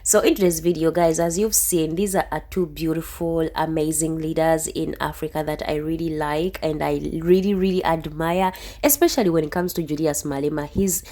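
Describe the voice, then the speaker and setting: Young woman, reaction host, earnest and emphatic, low mellow voice. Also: steady tone, moderate pace, few pauses. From Uganda. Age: young adult. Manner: earnest, emphatic, often repeats herself. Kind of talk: casual reaction commentary.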